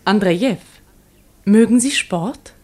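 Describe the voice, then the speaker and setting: An actress playing a governor's eager, playful young daughter in a German radio play. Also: female voice, medium pitch, slow pace, a little mellow.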